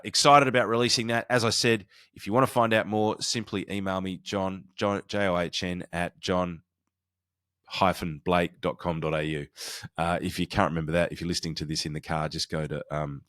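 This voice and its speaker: A man with a low-pitched voice talks solo as a podcast host and coach, giving practical advice. His delivery is conversational and informal, often halting to restart a phrase. The sound is close and dry, with very little room to it. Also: fairly bright tone, dry recording. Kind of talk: conversational solo podcast talk, explaining and advising.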